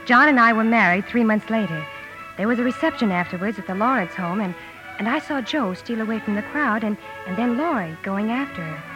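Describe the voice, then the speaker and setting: An actress playing a gentle married sister, narrating a family story in measured, reflective fashion for a 1950s radio drama. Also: female voice, a little high, lively intonation, natural voice. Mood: wistful. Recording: dull old radio broadcast, music behind.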